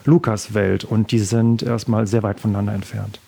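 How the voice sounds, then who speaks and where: Man, Italian first language, author interview, husky, gravelly, measured, hesitant fillers. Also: on the low side, level tone, warm tone. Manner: measured, pausing often with fillers. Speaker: author interviewed about his debut novel. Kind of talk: thoughtful interview answers.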